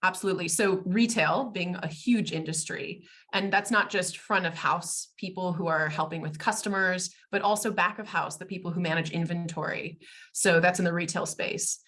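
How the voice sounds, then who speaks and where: An American woman, a guest expert in a webinar interview, talking fluently in a polished and warm way. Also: on the low side, normal speed. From United States. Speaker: guest, tech company expert.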